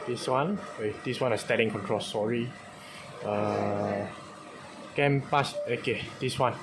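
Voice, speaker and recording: A Malaysian-accented man walks through a technical setup in an informal way. He speaks slowly, in short halting phrases that switch between Malay and English. His pitch is average, and there is clear ambient noise behind him. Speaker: car accessories installer giving tutorial. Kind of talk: informal step-by-step product demonstration. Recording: clearly noisy, with ambient background sound.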